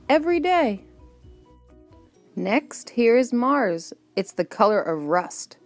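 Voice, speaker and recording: A woman reading a rhyming children's book aloud with a measured, rhythmic delivery. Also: mid-range voice, darkish tone. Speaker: storybook reader. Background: faint music.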